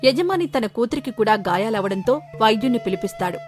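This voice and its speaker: A woman's voice: fairly high pitch, animated, quick pace, faint music behind. A woman narrating a scripted moral story.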